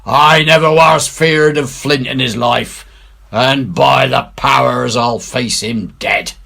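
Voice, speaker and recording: A man playing a bold, shrewd pirate ringleader in a storybook reading, with salty, emphatic, drawn-out speech. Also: mid-range voice, gravelly, bright, forceful tone, voice pushed up, heavily acted. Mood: defiant.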